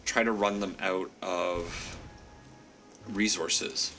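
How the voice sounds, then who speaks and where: A man's voice: deep voice, steady tone, very slow, bright, forceful tone, faint music behind. A man with a congested, stuffy voice casually commenting on a card game he is playing, thinking aloud, laughing at himself and apologizing to his opponent. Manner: chatty, self-deprecating, thinks aloud with frequent laughs. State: congested from a cold. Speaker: gameplay commentator.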